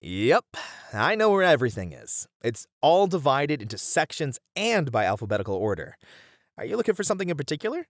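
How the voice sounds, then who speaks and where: A man plays a friendly, bookish bunny man in an ASMR roleplay. His mid-pitched voice swings expressively as he chats helpfully, with a bashful streak. The sound is dry and close, with hardly any room. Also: very animated, fairly quick, no echo. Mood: relaxed, confiding. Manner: chatty, helpful, easily flustered, breaking into chuckles and hesitations. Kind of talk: ASMR roleplay, one-sided conversation with the listener.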